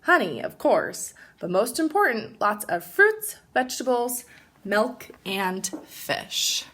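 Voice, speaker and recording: A woman teacher reading a children's storybook aloud in an expressive narrating style. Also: a little high, takes it slowly.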